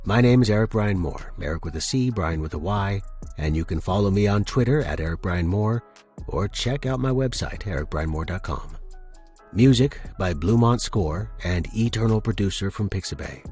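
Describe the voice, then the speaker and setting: A man's voice: deep voice, lively intonation, moderate pace, darkish tone, slightly rough, soft background music. A man narrating scripted fiction dramatically as a podcast host and storyteller.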